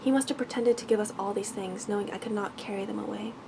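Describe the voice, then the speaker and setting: Asmr lady as a suspicious older merchant dad from a storybook, soft grumble, creaky, noisy background. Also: medium pitch, fairly even intonation, fast talker, natural voice. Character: older merchant father, distrustful, complaining man. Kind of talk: ASMR bedtime fairy tale reading.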